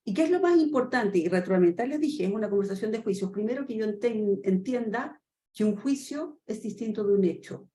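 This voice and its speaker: A Chilean woman in her fifties giving a webinar lesson in a quick, animated way, acting out short dialogues and asking rhetorical questions. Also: medium pitch. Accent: Chilean Spanish. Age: 50s. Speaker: trainer. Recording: echoey room with faint noise.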